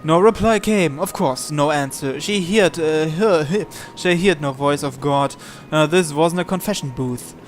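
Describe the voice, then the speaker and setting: A man with a slightly high voice narrates a visual novel as he plays. He reads aloud and sometimes trips over a word and repeats it. Faint music runs behind him. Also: a little slow, hardly any pauses. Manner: stumbles and repeats words while reading. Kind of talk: live read-aloud narration in English.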